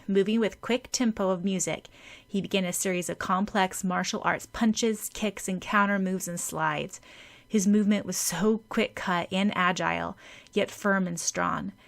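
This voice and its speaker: A woman's voice: medium pitch, fairly bright tone. A woman reading scripted story narration as an audiobook narrator.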